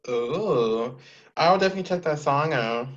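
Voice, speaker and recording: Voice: medium pitch, lively intonation, normal speed. A host interviewing a singer, enthusiastic and repeating phrases, heard over dull lo-fi call audio. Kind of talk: casual interview.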